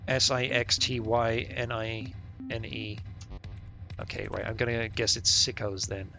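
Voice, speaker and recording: A man's voice: on the low side, little pitch movement, measured pace, vocal fry, over music. A man live-streaming a game, reading its text aloud and commenting in a casual, slangy, sweary way.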